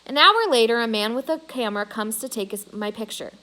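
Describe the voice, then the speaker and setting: A woman's voice: fairly high pitch, expressive, fairly quick, pushed and bright. A woman reading a children's novel aloud as a storyteller.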